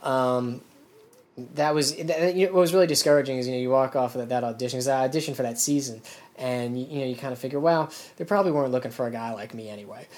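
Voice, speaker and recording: Comedian co-host, man, mid-pitched, rambling frustrated rants. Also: fast, a little mellow. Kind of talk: casual comedy chat.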